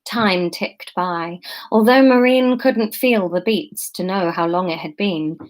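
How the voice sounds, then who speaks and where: A woman reads her own adventure story aloud in a relaxed, conversational way, stopping now and then to chat and fix her slips. Her voice sits at an everyday middle pitch for a woman. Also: unhurried. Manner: chatty, breaks off to comment and correct herself. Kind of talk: reading a story aloud with casual asides.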